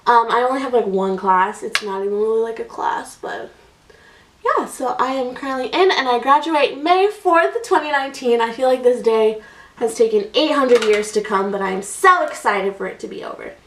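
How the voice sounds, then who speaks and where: A woman's voice: on the high side, moderate pace, fairly bright tone, slight background noise. A woman in her twenties telling a story in a rambling, casual way, filled with "like" and "um", as she does her makeup. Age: 20s. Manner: chatty, rambling, many "like"s and fillers. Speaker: beauty vlogger. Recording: echoey room, voice a bit distant.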